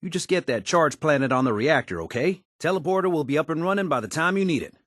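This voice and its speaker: A man's voice: fairly high pitch, lively intonation, quick pace, a bit bright, dry recording, studio quality. A man playing a down-to-earth, folksy and modest handyman in a wasteland video game, with easygoing, colloquial phrasing. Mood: wry, assured. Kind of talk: video game character dialogue.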